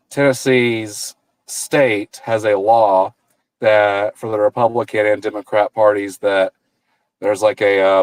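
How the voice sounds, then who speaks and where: A man's voice: fairly low pitch, dry recording, poor audio. A man in his thirties, a political candidate talking as a guest in a casual interview, rambling and often restarting his sentences over a video call. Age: 30s. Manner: rambling, with frequent restarts and repeated words.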